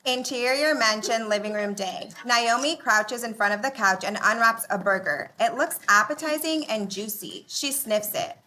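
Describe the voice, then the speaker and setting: A woman's voice: on the high side, normal speed, hardly any pauses, sharp tone, rough recording. A woman narrating scripted stage directions for a live sitcom table read.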